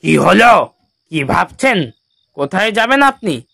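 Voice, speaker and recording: A man's voice: sits high, sing-song, unhurried, creaky, sharp tone, no echo, studio quality, heavily acted. A man voicing a mysterious middle-aged auto-rickshaw driver in a horror cartoon, blunt and haggling. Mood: probing.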